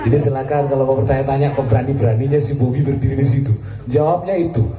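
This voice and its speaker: A man, a military officer, giving a joking, lively speech at a community gathering. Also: mid-range voice, quick pace, music in the background. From Indonesia. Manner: jokey and lively, laughs at his own jokes. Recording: echoey, distant, crowd noise.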